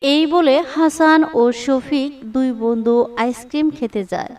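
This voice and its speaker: A woman narrates a children's cartoon story in a calm, even manner. Her voice is high and clear. She sits a little back from the mic. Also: measured pace, distant mic.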